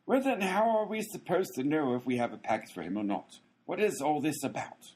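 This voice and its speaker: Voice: mid-range voice, very animated, fairly quick, a little mellow, husky. A performer playing a sarcastic, impatient postal clerk with a flippant, theatrical delivery in a radio comedy. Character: sarcastic, cranky postal clerk, one of conjoined twins.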